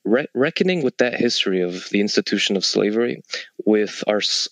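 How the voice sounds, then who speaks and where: Low-voiced young man, thoughtful guest. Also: expressive, fairly bright tone, dry recording. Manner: deliberate, builds points with repeated rhetorical questions. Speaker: interview guest, civil servant. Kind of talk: thoughtful conversational interview answer.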